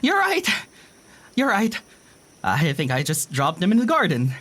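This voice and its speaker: An actress playing an anxious, flighty young dreamer in an audio drama, fretful and prone to stammering. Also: female voice, on the low side, huge pitch swings, unhurried, a bit bright, full character voice. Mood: nervously reassuring.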